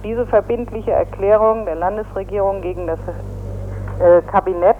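A woman's voice: medium pitch, steady tone, slight background noise. Woman speaking formally over a tinny phone line. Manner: formal, measured, legalistic phrasing. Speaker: union press spokesperson. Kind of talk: phone interview statement. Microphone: telephone.

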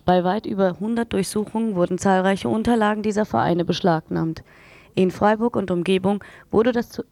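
A woman's voice: medium pitch, darkish tone, no echo. A woman radio presenter reading news and programme announcements in an earnest, factual manner.

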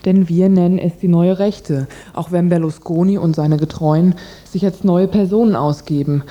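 A woman with a lowish, very dark and relaxed timbre reads a radio news report. She delivers prepared text and translations as a presenter. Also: normal speed, lo-fi sound. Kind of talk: scripted news reading and voice-over translation.

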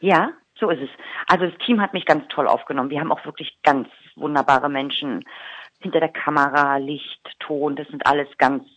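A woman's voice: low voice, fairly bright tone, dry recording. A woman with a Berlin accent, an actress being interviewed on the radio, talking openly and chattily. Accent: Berlin German. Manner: chatty, open, quick to laugh. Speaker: actress guest. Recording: dull lo-fi radio recording.

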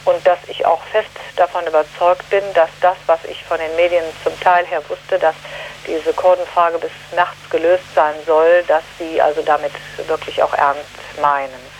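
A woman giving a spontaneous eyewitness account, earnest and halting as she searches for her words. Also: deep voice, flat delivery, a little hiss or hum, rough recording. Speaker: human rights delegation member giving eyewitness account.

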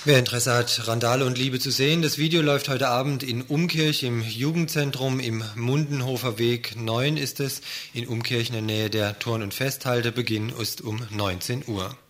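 A man's voice: mid-range voice, fairly even intonation, normal speed, hardly any pauses, a bit bright. A man interviewing a guest on local radio, asking plain conversational questions.

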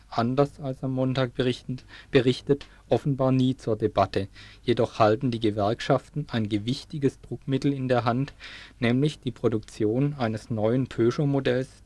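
A man's voice: mid-range voice, a little mellow, no room sound. A man reading a scripted radio news report as a reporter.

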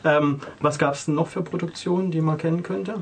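A man's voice: a little high, steady tone, brisk, a crowd in the background. A man hosting a radio interview, talking with studio guests. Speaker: radio host.

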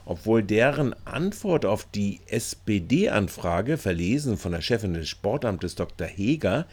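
Male reporter, deepish voice, unhurried delivery, sardonic scripted narration. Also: very animated, darkish tone, husky. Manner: wry, sardonic. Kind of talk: scripted, opinionated local news narration.

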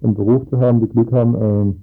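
A man's voice: mid-range voice, steady tone, quick pace. A man speaking earnestly and emphatically as a resident in a political radio interview. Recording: tinny phone-like band, music behind.

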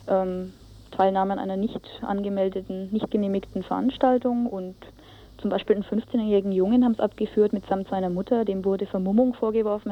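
A woman's voice: medium pitch, slight background noise. A woman giving an informal eyewitness account as a radio reporter, often searching for words in a colloquial way. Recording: dull lo-fi radio tape.

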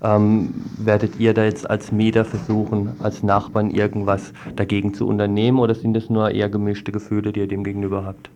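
A man's voice: on the low side, completely flat delivery, brisk, few pauses, darkish tone, slight background noise. A man working as a radio reporter, reading a report and interviewing in a formal, wordy way. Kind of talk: scripted news report and studio interview.

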